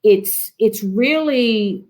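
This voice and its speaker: A woman's voice: a little high, extremely slow, darkish tone. A woman speaking as a panelist, adding her remarks to a discussion.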